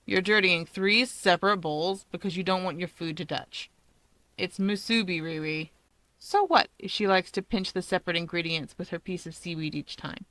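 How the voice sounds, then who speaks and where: Bright, close-miked woman storyteller. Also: on the low side, moderate pace, no room sound, poor audio. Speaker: channel host and story narrator. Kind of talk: expressive scripted narration of fan fiction.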